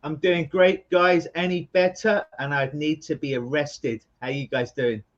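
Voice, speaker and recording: A man's voice: a little high, fairly even intonation, lo-fi sound. A man from England with a London accent, a hypnotherapist guest talking casually and joking in an interview over a remote call. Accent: London English. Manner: joking, chatty, quick asides.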